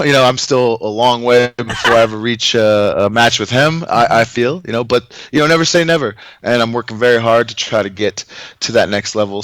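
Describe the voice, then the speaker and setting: A man, a pro wrestler, answering interview questions haltingly with many fillers. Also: mid-range voice, fairly bright tone, dry recording.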